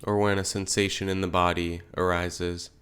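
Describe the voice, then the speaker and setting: A man leading a guided meditation as a teacher, speaking in gentle, unhurried phrases with pauses. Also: deep voice, flat delivery, smooth.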